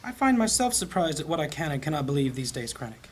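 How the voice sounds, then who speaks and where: A man playing a gentle, devout priest in a fantasy audio drama, speaking in a measured, compassionate and solemn way. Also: on the high side, very animated, a little hiss or hum. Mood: concerned, gentle.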